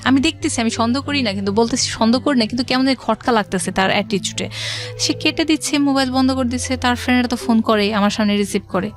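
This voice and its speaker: A woman's voice: a little high, fast. A middle-aged woman with a Bangladeshi accent, a guest telling her life story conversationally, with some English words mixed in. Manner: conversational, quotes past dialogue, mixes in English words. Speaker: interview guest. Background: background music.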